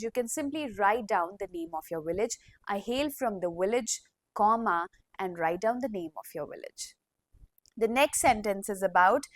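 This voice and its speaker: A woman teacher, slowly dictating model sentences in a classroom language lesson. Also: a little high, dry recording.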